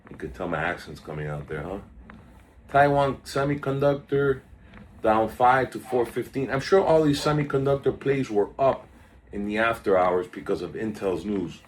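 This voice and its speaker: A man with a lowish voice talks through the day's stock moves in a loose, rambling way, wandering off into small talk between tickers. It sounds like a casual market update recorded on a phone, with a faint hum of background noise. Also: animated, moderate pace. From South Florida. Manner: chatty, drifts off topic, reads out stock tickers. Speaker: stock market video host.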